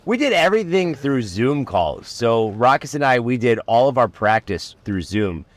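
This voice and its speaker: A man from Ohio, a magician guest chatting casually in a car, relaxed and teasing. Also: mid-range voice, moderate pace, no echo. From Cleveland, Ohio. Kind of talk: casual chat.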